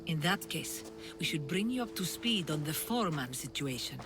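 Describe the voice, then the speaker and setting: An actress playing an earnest older mother and refugee leader in a video game, measured and wistful. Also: low voice, animated, background noise. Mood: earnest, concerned. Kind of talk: video game cutscene acting.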